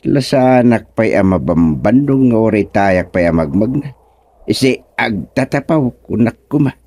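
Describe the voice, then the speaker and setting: A man's voice: on the low side, animated, unhurried, darkish tone. An actor playing a stubborn, bewildered former village chairman in a comedic radio drama, muttering and exclaiming to himself. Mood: puzzled muttering.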